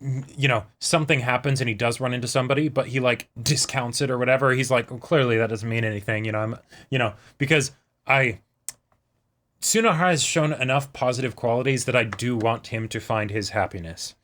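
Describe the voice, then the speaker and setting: A man's voice: medium pitch, brisk, a bit bright. A man co-hosting a reaction show, chatting casually and thinking aloud in loose, rambling sentences. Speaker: co-host of an anime reaction show. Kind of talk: casual chat, discussion.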